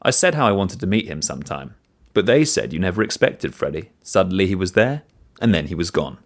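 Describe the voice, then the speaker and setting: A man reading a first-person short story as narrator, in an expressive storytelling manner. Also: deep voice, a little fast.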